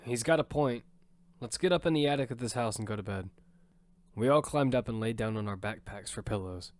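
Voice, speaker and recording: A man's voice: mid-range voice, brisk, no room sound, close to an everyday voice. A man voicing Damian, a young battle survivor, narrating the story in first person in an earnest, matter-of-fact way. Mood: steady. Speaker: narrator and lead character. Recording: loud music score behind.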